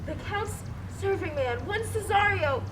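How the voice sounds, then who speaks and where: A foolish, wounded knight, played by a woman in an amateur Shakespeare performance. The voice is extremely high and given to theatrical, complaining outbursts. The recording is plainly noisy. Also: animated, unhurried. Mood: frightened, aggrieved. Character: foolish cowardly injured knight, wailing and complaining. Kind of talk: amateur Shakespeare stage acting in verse and prose.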